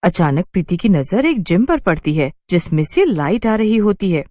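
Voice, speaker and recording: A woman's voice: fairly low pitch, big pitch swings, moderate pace, a little mellow. A woman telling a scripted cartoon story as its narrator.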